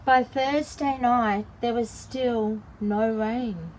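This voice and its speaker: A woman's voice: fairly high pitch, fairly even intonation, slow pace, darkish tone, a little hiss or hum, poor audio. A woman reading a picture book aloud to young children, adding simple explanations and drawing out key words. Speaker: children's story time host and early childhood educator.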